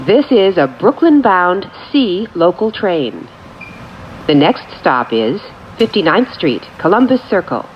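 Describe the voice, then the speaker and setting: A woman delivers formulaic transit announcements in separate, measured phrases. Her voice is low but moves with a lively lilt. It is captured inside a train, slightly dulled, with some vehicle noise around it. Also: muffled recording. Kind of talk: scripted automated train announcements. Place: recorded off speakers inside a subway train. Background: train ambience.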